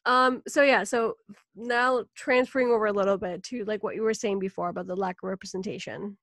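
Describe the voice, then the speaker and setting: A woman hosting a casual podcast interview, chatty and relaxed, with many fillers and easy laughter. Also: mid-range voice, brisk, dry recording. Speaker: podcast host.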